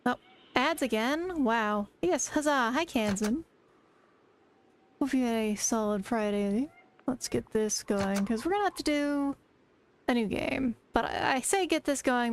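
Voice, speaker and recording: Brief: woman streamer, slightly high voice, rambling casual chatter aimed at chat.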